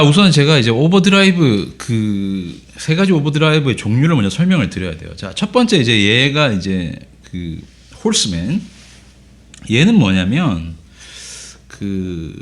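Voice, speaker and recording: A man with a hoarse voice from a cold, casually explaining guitar pedals in a relaxed way, with frequent filler sounds. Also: medium pitch, moderate pace. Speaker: guitar teacher. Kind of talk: casual gear demo lesson.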